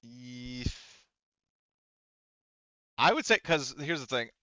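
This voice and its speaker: A man running a tabletop game as game master, talking casually and piecing his narration together haltingly as he goes. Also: mid-range voice, animated, sharp tone, no room sound, highs cut off.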